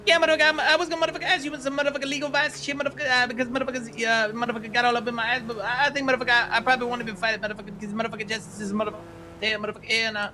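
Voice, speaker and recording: A squeaky, very high voice belongs to a foul-mouthed ex-convict, played by a man, who rattles off a rambling, halting stream of swearing at breakneck speed. The tone is bright and sharp throughout, and the character comes across as streetwise and disorganized. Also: level tone, a little hiss or hum, exaggerated voice. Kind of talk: online roleplay game character.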